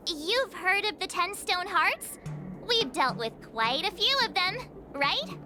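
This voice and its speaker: A woman's voice: very high-pitched, sing-song, slow pace, full character voice. An actress playing a brash, tactless young girl who talks bluntly with lots of hesitant fillers. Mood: eager. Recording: game dialogue over music. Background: game music.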